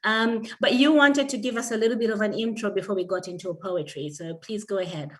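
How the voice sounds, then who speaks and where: A woman's voice: medium pitch, a little fast, fairly bright tone. Upbeat woman host, heard from a distance. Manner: upbeat and effusive. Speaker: event host. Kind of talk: stage hosting.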